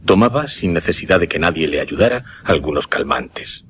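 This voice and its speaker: An actor with a Castilian Spanish accent playing a grave hypnotist who recounts horrors deliberately in a suspense radio drama. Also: male voice, low voice, expressive, slight background noise. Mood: haunted, horrified. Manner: deliberate, dramatic, hesitating and repeating words for effect.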